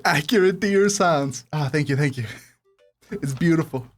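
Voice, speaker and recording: A woman's voice: low-pitched, normal speed, over music. A woman giving casual game commentary as a streamer, playful, giggly and sweary. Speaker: gaming streamer.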